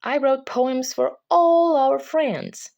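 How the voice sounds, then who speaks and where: A woman's voice: sits high, slow, creaky, a little mellow, pitched above the performer's own voice, heavily acted. A woman voicing a cheerful, affectionate little girl in a children's storybook read-aloud. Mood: bright, eager.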